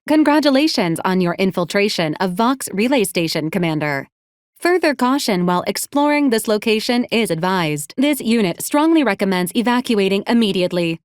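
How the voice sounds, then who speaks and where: A woman's voice: medium pitch, darkish tone, dry recording, studio quality, natural voice. A woman voicing a polite female robot companion in a video game, speaking in a formal, clipped, matter-of-fact and clinically detached way. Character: polite, deadpan female robot companion unit assisting a commander. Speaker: robotic assistant. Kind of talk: scripted video game character lines.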